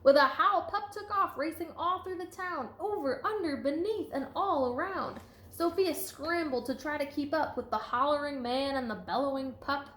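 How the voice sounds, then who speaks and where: A woman reading a children's picture book aloud with expressive emphasis and chatty asides to listeners. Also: high-pitched, moderate pace, hardly any pauses, a little hiss or hum. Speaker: storybook reader. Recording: echoey room.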